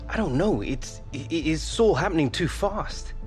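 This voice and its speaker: A man acting a proud young British footballer in a game cutscene. His voice is wary and wounded, with lively swings in pitch, over faint music. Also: moderate pace, lightly acted. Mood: overwhelmed. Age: young adult. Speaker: actor in a video game.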